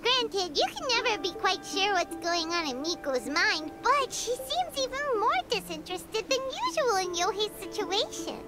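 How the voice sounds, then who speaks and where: A very high, bouncy voice belongs to a tiny female sprite, swooping up and down as she reacts to everything. She sounds excitable and quick, with loud game music behind her. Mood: curious. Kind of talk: video game voice acting.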